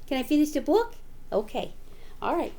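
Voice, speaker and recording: A woman's voice: high voice, normal speed. A middle-aged woman, a teacher, reading a picture book to children with chatty asides. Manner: animated, chatty, explains words to children.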